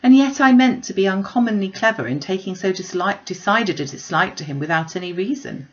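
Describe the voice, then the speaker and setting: A woman's voice: mid-range voice, animated, brisk, highs cut off, close to an everyday voice. A woman voicing a witty, self-possessed young lady in a classic novel reading, wry, articulate and emphatic. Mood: self-mocking.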